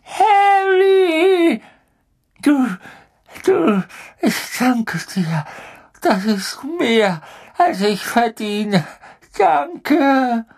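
A man's voice: extremely high pitch, drawn-out words, pitched above the performer's own voice, full character voice. A man reading an audiobook, voicing a cowering, sobbing middle-aged male traitor with a whiny, pleading voice. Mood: tearful. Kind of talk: audiobook character voice.

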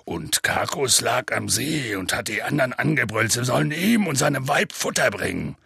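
A man voicing a huge, gruff, good-natured male half-giant who tells a rambling, folksy story in a deep gravelly rumble. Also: sing-song, moderate pace, pushed and bright, no echo, studio quality, exaggerated voice. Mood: telling tale. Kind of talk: audiobook character voice.

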